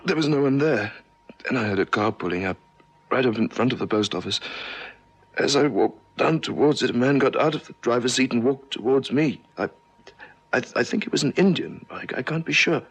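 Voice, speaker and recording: Shaken young journalist, husky, hesitant, keeps stumbling over words, radio drama. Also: male voice, mid-range voice, animated, rough recording. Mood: shaken, halting. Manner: hesitant, stammering, halting. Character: shaken, apologetic young male newspaper reporter. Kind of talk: 1970s radio drama acting.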